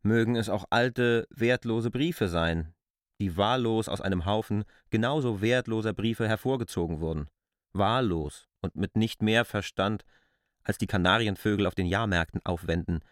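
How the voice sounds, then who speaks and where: Man acting low-voiced, earnest arguer in radio drama. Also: no echo, studio quality, natural voice. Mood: insistent. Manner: deliberate, insistent, reasoning in long clauses. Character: K., earnest, argumentative male stranger to the village.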